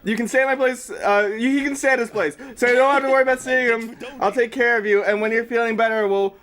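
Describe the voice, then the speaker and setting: Really high pitched guy reading fanfic on stream, mouth burning from hot sauce, keeps breaking off to exclaim. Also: steady tone, fast, fairly bright tone. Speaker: streamer reading fan fiction aloud. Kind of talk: fan fiction reading mixed with casual banter. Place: group livestream with others reacting.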